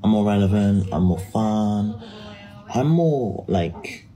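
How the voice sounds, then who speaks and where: A woman's voice: very deep voice, animated, slow, warm tone, faint music behind. A young woman speaking in a dismissive, boastful way about a rival streamer. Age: young adult. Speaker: livestreamer. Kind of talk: clip of a live stream.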